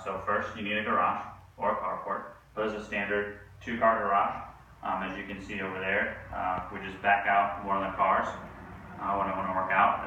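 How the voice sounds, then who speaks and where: A man's voice: deep voice, dull audio, slight background noise. Young man in an echoey garage. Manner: relaxed, many ums and uhs. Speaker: home gym vlogger. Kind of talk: casual walkthrough tour. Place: two-car home garage gym, indoors. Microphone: camera mic from a few meters away. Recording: echoey garage, distant from mic.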